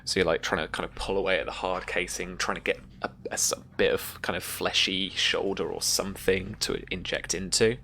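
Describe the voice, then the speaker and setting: A game master narrating a live tabletop horror game in an improvised, halting way, pausing to search for words. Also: low-pitched, steady tone, gravelly, pushed and bright, a little hiss or hum.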